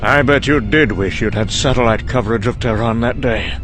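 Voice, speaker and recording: A man's voice: medium pitch, moderate pace, music in the background, natural voice. A man playing a cynical scientist, delivering a dry, weary, sardonic dramatic monologue for a motion comic. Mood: sardonic, mocking. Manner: dry, sardonic, weary musing with trailing asides. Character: cynical, sardonic British male scientist briefing an American colleague.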